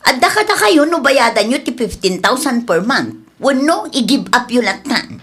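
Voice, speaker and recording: A woman's voice: on the high side, big pitch swings, moderate pace, fairly bright tone, breathy edge, full character voice. An actress with a Filipino accent playing a stubborn, scheming female landowner in an Ilocano radio drama. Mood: insistent, hard-nosed. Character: scheming money-minded female cousin and landowner, stubborn daughter.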